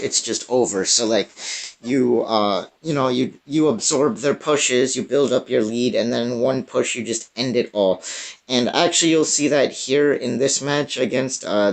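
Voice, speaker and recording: Young guy talking over his gameplay, voice all raspy and strained, lots of ums and restarts. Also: medium pitch. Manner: casual, many fillers and restarts. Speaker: gaming YouTuber. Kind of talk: casual gameplay commentary.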